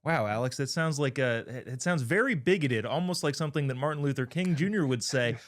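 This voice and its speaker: A man's voice: mid-range voice, expressive, fairly quick. An American man hosting a political talk show in a casual, joking, bantering way.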